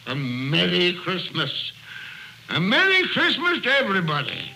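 Excitable old miser, wildly swooping pitch, hearty, exclamatory, old radio drama, background hiss. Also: male voice, measured pace, gravelly, full character voice. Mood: giddy joy. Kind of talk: 1930s radio drama acting. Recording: noisy vintage radio broadcast.

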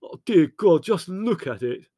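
A man's voice: mid-range voice, normal speed, a little mellow, no echo, studio quality, voice pushed up, close to an everyday voice. A man voicing a blunt, hot-tempered, scolding young man in an audiobook story. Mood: anguished. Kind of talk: audiobook character voice.

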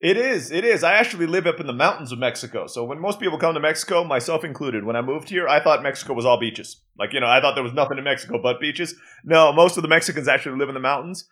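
Fast-talking man with an American accent. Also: fairly high pitch, expressive, fairly bright tone. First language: Turkish. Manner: chatty, laughs easily. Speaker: podcast guest, entrepreneur. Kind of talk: casual interview chat.

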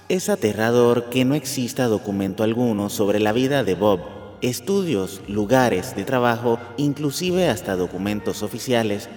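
A man's voice: mid-range voice, darkish tone. A man reading scripted documentary narration as the narrator. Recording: long hall reverb, music underneath.

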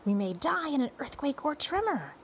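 A woman's voice: sits high, sing-song, normal speed, a little hiss or hum, voice pushed up. A woman voicing an exuberant, boastful male centipede who calls out gleeful verse in a storybook. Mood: gleeful, reckless. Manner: shouting, exuberant, singsong verse.

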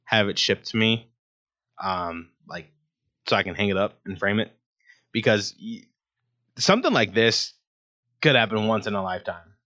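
A man's voice: on the low side, animated, moderate pace, long pauses, bright, forceful tone. Rambling man with a dull lo-fi sound. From Ohio, USA. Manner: rambling, many ums and restarts. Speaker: sports talk show host. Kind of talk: casual solo sports talk.

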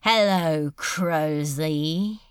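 A woman voicing a cold, commanding male demon lord of about forty in a story, rasping, with forceful, oddly stressed words. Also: deep voice, drawn-out words, no echo, studio quality, voice pushed down. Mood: cold menace.

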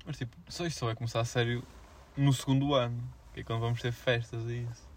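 A young man with a European Portuguese accent chats as a podcast co-host. He rattles along extremely fast in a mid-range voice. His speech is informal and slangy, peppered with fillers. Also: medium pitch, flat delivery. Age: young adult. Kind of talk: casual student podcast chat.

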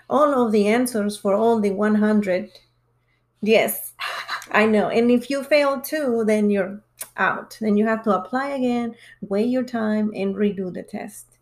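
A woman in her forties from Mexico, a podcast guest talking casually and earnestly explaining her experiences at length. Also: on the high side. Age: 40s. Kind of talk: casual chat.

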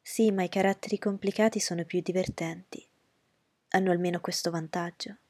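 Woman reading witty young woman, calm, low-ish voice, husky, audiobook. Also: brisk, dry recording, close to an everyday voice.

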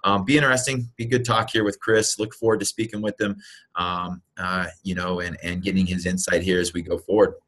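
Fast-talking low-voiced male podcast host. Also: flat delivery, fairly bright tone, slight background noise. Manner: hesitant, many fillers and restarts. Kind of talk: casual podcast chat.

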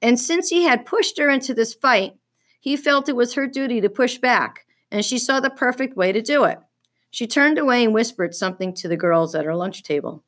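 A woman reading a children's book aloud as an expressive storyteller. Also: fairly high pitch, normal speed.

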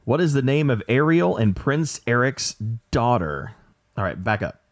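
A man plays quizmaster on a relaxed podcast. His delivery rises and falls a lot, and it carries a dry, self-deprecating wit. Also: mid-range voice, normal speed. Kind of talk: joking game-show hosting.

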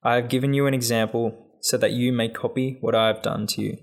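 Man, mid-range voice, scripted Gospel reading, measured, solemn delivery. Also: mid-range voice, little pitch movement, creaky. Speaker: scripture reader.

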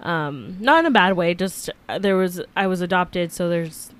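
A woman in her mid-twenties co-hosting a podcast, chatty and animated with lots of fillers. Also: on the low side, normal speed. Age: 20s. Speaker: podcast co-host. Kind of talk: casual chat.

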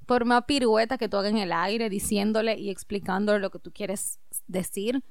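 A woman's voice: medium pitch, steady tone, moderate pace, no echo, clean studio sound. A woman co-hosting a podcast, chatting casually, addressing listeners directly and laughing easily. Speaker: podcast co-host. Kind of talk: casual chat and reading her own writing aloud.

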